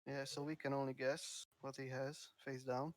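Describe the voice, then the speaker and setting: Flat-voiced man co-casting a match. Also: medium pitch, moderate pace. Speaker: co-caster. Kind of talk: esports game commentary.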